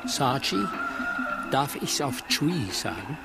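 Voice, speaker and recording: An actor playing a wry old village hunter in his seventies, narrating a radio drama in earthy, unhurried storytelling. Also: medium pitch, big pitch swings, loud music behind the voice. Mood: sly, earthy. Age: older.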